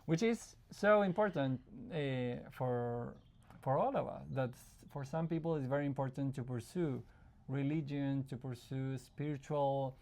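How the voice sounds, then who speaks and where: A man from Argentina, a psychologist guest, talking in a relaxed interview, thoughtful and often pausing to find his words. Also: mid-range voice. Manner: thoughtful, searching for words, frequent restarts, laughs easily. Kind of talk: casual conversational interview.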